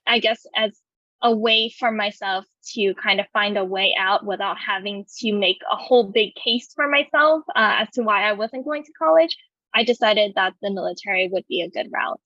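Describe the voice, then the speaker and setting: A woman's voice: mid-range voice, moderate pace, pushed and bright, no room sound. A woman in her twenties from California, telling her life story in an oral history interview, talking hesitantly with many fillers and restarts. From California, USA. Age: 20s. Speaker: veteran interviewee. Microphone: video call. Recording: muffled video call audio.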